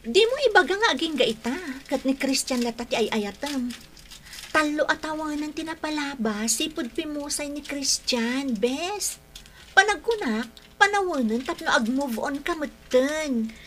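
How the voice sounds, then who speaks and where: A woman's voice: high voice, animated, measured pace, fairly bright tone. A woman playing a pushy young best friend in a Filipino radio drama, with insistent coaxing. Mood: exasperated, insistent.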